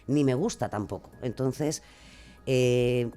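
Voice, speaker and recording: A woman with a Castilian Spanish accent talks casually as an interview guest. She is expressive and rambles a little, with a self-deprecating, informal way of explaining herself. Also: a bass voice, slow pace. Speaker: guest, true-crime podcaster. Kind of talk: casual radio interview chat.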